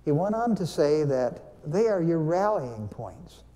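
A man's voice: on the high side, very animated, unhurried, dark tone. An older man giving a conversational, teacherly church talk, asking his congregation questions as he goes. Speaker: church speaker, veteran. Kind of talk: Veterans Day sermon talk with questions to the congregation. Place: large church sanctuary, standing before pulpit. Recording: long hall reverb.

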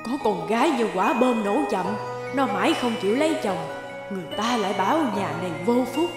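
A woman's voice: a little high, lively intonation, a little fast, natural voice. A woman voicing a fretful, nagging older mother in a cartoon. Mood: bitter nagging. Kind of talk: cartoon voice acting. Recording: music behind the voice.

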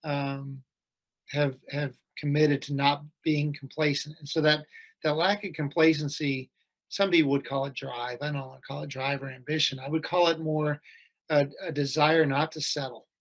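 A middle-aged school head answers as a podcast guest over a video call. His voice sits a little high, and he speaks thoughtfully, often restarting his phrases. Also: fairly even intonation, normal speed, fairly bright tone. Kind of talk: casual interview chat. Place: home or office, video call.

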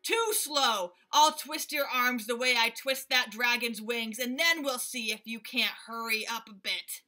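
A woman voicing a huge, fierce male gorilla plagued by fleas, loud, bossy and impatient in a storybook reading. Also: a little high, unhurried.

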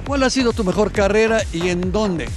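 A man's voice: sits high, moderate pace. A middle-aged man with a Mexican Spanish accent hosting a TV sports interview outdoors with a handheld mic, enthusiastic and exclamatory. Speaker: host. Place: outdoors by a fountain. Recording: music bed under voices.

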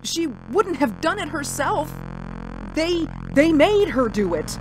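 Woman acting frightened wife, suspense radio drama, slow halting delivery, breathless pleading, high pitch. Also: sing-song, background noise, heavily acted. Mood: nervous. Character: terrified, frantic wife hiding from alien invaders.

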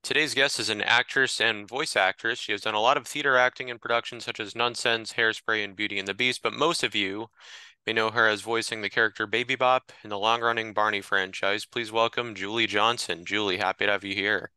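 A man's voice: fairly low pitch, fairly even intonation, a little fast, bright, forceful tone, echoey room, low-quality recording. A man reading scripted show intros and guest introductions as co-host. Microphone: video call.

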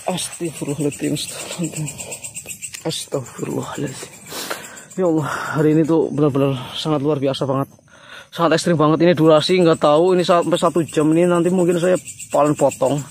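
An Indonesian man with a cold, speaking as a night-time vlogger and reciting prayers outdoors. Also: fairly high pitch. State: has a cold and flu, feeling weak. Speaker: ghost-hunting vlogger, live streamer. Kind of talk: casual vlog talk with murmured prayers. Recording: outdoor night insect noise. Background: crickets and insects.

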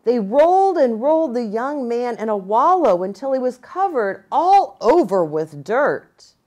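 A middle-aged American woman narrating a children's storybook in an expressive, deliberate way. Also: a little high. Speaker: pastor reading to children. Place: small furnished room, indoors.

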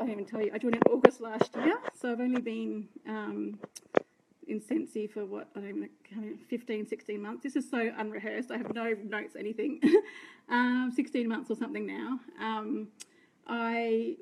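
Australian woman in her 30s, fairly high voice, a touch croaky, chatty live-video storyteller on a phone. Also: a little mellow, slight background noise, rough recording. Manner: chatty, rambling, self-deprecating, greets viewers. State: slightly croaky. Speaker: direct sales team leader. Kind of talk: unscripted live video talk. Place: indoor room by curtains. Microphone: phone held close, front camera.

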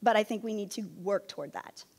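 A woman's voice: medium pitch, fast, a little mellow. A worried woman speaks with heartfelt concern at a desk microphone. Her voice sits mid-range, and a long reverb trails her words through a large boardroom. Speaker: school board member. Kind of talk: statement at a board meeting. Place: boardroom, indoors.

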